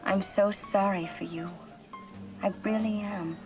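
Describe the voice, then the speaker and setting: An actress playing a cynical, mocking femme fatale in a mid-century suspense drama, coolly flippant in manner. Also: female voice, mid-range voice, fairly even intonation, a little slow, music in the background, close to an everyday voice. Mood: pitying.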